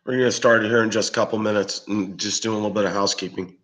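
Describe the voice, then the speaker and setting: Raspy, hoarse man, low voice. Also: steady tone, rapid-fire, a bit bright. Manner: rambling, with many fillers and restarts. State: hoarse from bronchitis. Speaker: livestream show host. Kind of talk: casual live-stream chat with viewers.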